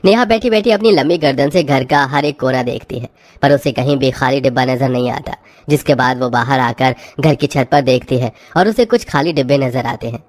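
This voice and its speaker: A woman's voice: extremely low pitch, moderate pace, darkish tone. A woman narrator reading a scripted cartoon story.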